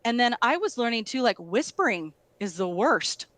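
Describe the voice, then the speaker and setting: A woman's voice: fairly high pitch, big pitch swings, normal speed, a bit bright, dry recording. Dry-sounding woman telling road stories. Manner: storytelling, filler-heavy, lots of "like". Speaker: guest musician. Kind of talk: casual conversation.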